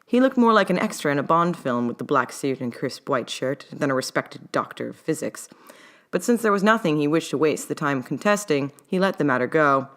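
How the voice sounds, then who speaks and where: Woman, low-pitched voice, old-timey mid-Atlantic accent, audiobook-style story reading. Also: expressive, brisk, a bit bright. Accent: mid-Atlantic, old-timey English-leaning. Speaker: author reading own story. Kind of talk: audiobook-style narration of fan fiction.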